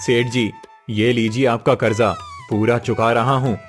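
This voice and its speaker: A man's voice: medium pitch, fairly even intonation, a little slow, faint music behind, natural voice. A man voicing a poor, honest farmer in a moral-story cartoon, with a humble, earnest manner.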